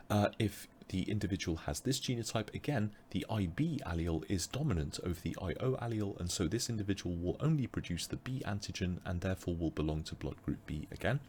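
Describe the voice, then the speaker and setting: A man teaching an online biology revision webinar, explaining step by step with frequent pauses, his voice a little strained as he loses it. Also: sits low, darkish tone, a little hiss or hum. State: losing his voice. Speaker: biology tutor.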